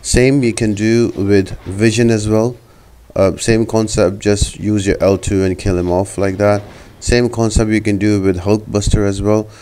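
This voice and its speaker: A man's voice: fairly low pitch, warm tone. A man narrating a video game guide as the host, explaining things directly to his viewers.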